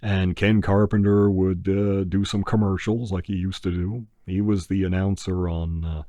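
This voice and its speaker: An older man hosting a podcast, talking in a rambling, unhurried way with lots of "uh" fillers. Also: sits low, flat delivery, dark tone, no echo. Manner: unhurried, many "uh" fillers, breaks into crooning an old song. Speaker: podcast host. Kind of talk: rambling casual chat.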